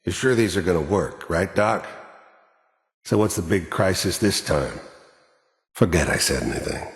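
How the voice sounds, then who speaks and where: An actor playing a hardened, cynical middle-aged mercenary in a video game, with an American accent, a gruff voice and a terse, sardonic, menacing delivery. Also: male voice, sits low, expressive, moderate pace. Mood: curt, businesslike. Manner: terse, matter-of-fact, sardonic. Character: hardened cynical male mercenary, cold, menacing, world-weary. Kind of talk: video game character monologue and dialogue. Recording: echoey hall-like reverb.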